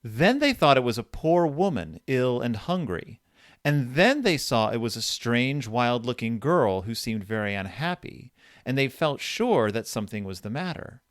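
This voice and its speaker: Man, podcast host, very lively intonation, casual retelling, reads passages aloud, dry recording. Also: medium pitch, normal speed, no echo. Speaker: podcast host discussing horror fiction. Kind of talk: casual chat, retelling and reading story passages.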